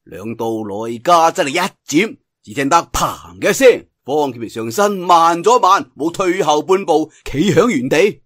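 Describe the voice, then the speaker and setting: A male audiobook storyteller with a Cantonese accent and a fairly high voice. He narrates martial arts fiction theatrically and colloquially, with very lively intonation. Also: no room sound, studio quality. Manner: theatrical, colloquial telling with drawn-out sentence particles.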